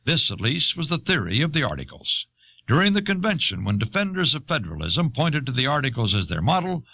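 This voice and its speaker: A man reading scripted documentary narration in a measured, explanatory manner, on an old cassette recording. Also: medium pitch, bright, forceful tone, dull audio. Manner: measured, expository.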